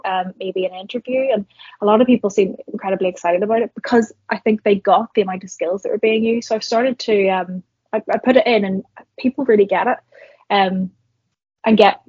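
Husky Northern Irish woman. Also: medium pitch, darkish tone, dry recording, dull audio. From Northern Ireland. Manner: reflective, many fillers and restarts. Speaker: guest, marketer and entrepreneur. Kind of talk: conversational podcast interview.

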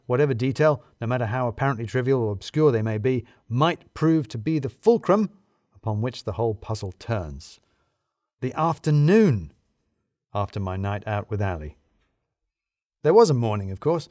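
A man narrating a scripted first-person comic story in a wry, theatrically self-pitying way with deliberate emphasis. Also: mid-range voice, darkish tone, no room sound, studio quality.

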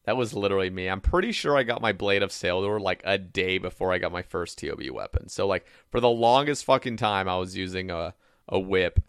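A man's voice: sits low, animated, fairly quick, fairly bright tone, smooth, no echo, studio quality. A man in his twenties hosting a casual gaming chat, laid-back and swearing casually. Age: 20s.

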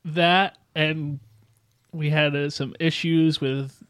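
Chatty young man with a fairly high voice. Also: steady tone, takes it slowly, fairly bright tone, clear, resonant tone. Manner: chatty, hesitant fillers, swears. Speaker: player and episode editor. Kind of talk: casual podcast chat.

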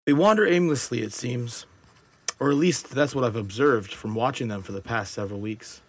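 A man playing a wary, detached survivor of an apocalypse, narrating a journal in a deliberate, reflective audio drama style. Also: medium pitch, husky, no room sound. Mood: detached, observant. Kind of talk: scripted first-person dramatic narration.

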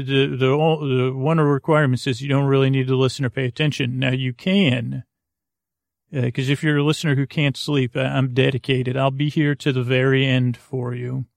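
A man with a gravelly, fry-laden voice at a middling pitch hosts a bedtime podcast. He improvises in a hesitant, meandering way, drifting from one tangent to the next. The sound is close and dry, with no room around it. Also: no echo. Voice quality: gravelly, creaky. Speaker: podcast host. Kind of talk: rambling improvised bedtime monologue.

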